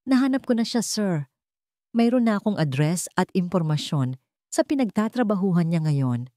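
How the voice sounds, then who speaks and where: A woman's voice: fairly low pitch, big pitch swings, normal speed, mellow, dry recording, studio quality. A woman voicing a dutiful male private investigator in a story, deferential and matter-of-fact.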